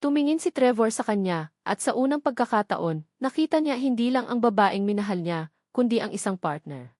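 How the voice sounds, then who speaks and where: A woman's voice: on the high side, expressive, fairly quick, a little mellow, dry recording, clean studio sound. A woman reading scripted dramatic narration of a romantic story.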